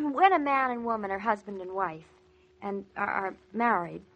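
An actress playing a young newlywed wife in 1940s radio drama, coy, hesitant and innocently stubborn. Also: female voice, mid-range voice, animated, moderate pace, muffled recording. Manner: hesitant, artless, wheedling.

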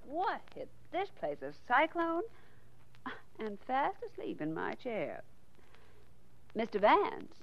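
A woman playing a wisecracking, playfully exasperated American secretary in a 1940s radio drama, saucy and teasing. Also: medium pitch. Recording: muffled, noisy 1940s radio recording.

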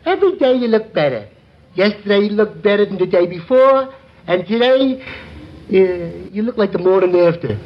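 An actor playing a slow-witted, innocent, hesitant tavern regular in a 1950s radio comedy. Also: male voice, high voice, animated. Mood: friendly, dopey. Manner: dopey, hesitant. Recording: very noisy muffled 1950s radio broadcast.

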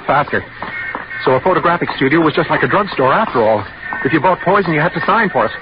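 A man's voice: a little high, expressive, lightly acted. A man acting a nervous, secretive husband plotting murder in a tense, confiding 1940s radio drama narration. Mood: agitated. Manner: tense, brooding, confiding, halting when questioned. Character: nervous, secretive husband calmly plotting to poison his wife. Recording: dull vintage 1940s radio recording. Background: dramatic music.

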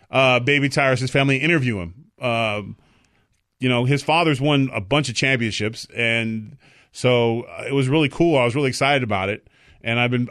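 A man co-hosting a talk show in loose, joking banter. He rambles through his asides and now and then bursts out loud with excitement. His voice sits at a middling pitch and sounds dry and close to the mic. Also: no room sound. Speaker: co-host.

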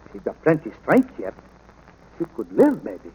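An actor playing a kindly, loyal ranch hand in an old radio drama, with a halting, plain-spoken, folksy way of talking. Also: male voice, medium pitch, huge pitch swings, a little mellow, breathy edge, full character voice. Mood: earnest, moved. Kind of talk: 1940s radio drama acting and narration. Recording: noisy old radio broadcast.

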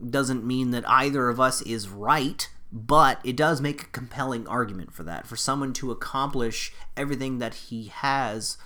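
A man's voice: medium pitch, bright, forceful tone. A man hosting a podcast, talking through wrestling news in a rambling, self-correcting, conversational way. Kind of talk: casual solo commentary.

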